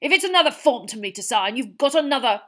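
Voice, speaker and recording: A woman's voice: on the high side, expressive, fast, fairly bright tone, pitched above the performer's own voice. A woman voicing a bullying middle-aged man who snarls and splutters, in a storybook reading. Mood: angry.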